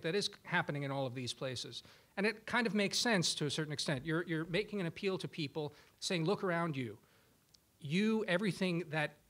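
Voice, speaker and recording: A man from Croatia speaking as a panelist at a policy discussion in a conference room, thoughtful and halting, restarting his sentences. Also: fairly high pitch, a bit bright. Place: large conference room with audience.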